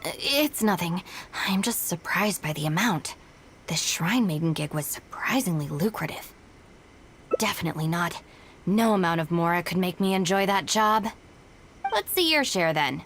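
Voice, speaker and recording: An actress playing a cool, dutiful young gang deputy in a video game, matter-of-fact, guarded and a little weary. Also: female voice, fairly low pitch, animated, moderate pace, a little hiss or hum. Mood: dry, puzzled.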